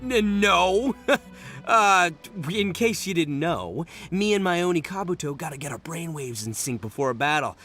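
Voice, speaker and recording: A brash young gang boss from a video game, with a high-pitched, bright voice that swings widely. He is boastful, goofy and theatrical, exclaiming over light music. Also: lively intonation, normal speed. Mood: flustered. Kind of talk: video game character acting.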